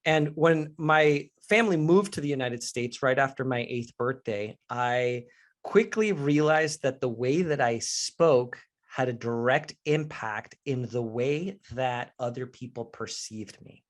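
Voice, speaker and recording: A man's voice: medium pitch, measured pace. A man in his forties from Mexico, a professional speaker leading an online workshop on confidence, talking in a candid and earnest way straight to his audience. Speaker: leadership trainer and professional speaker. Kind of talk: live online workshop presentation.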